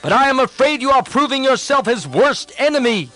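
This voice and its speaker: A man's voice: very high-pitched, expressive, brisk, sharp tone, soft background music, pitched above the performer's own voice, full character voice. A man voicing a fearless, righteous older counselor who pleads earnestly with his king. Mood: pleading.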